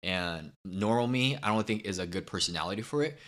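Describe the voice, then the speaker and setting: A man's voice: lowish voice, expressive, brisk, a bit bright. A young American man co-hosting a casual podcast, joking and cocky, swearing freely as he talks. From United States. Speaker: podcast co-host.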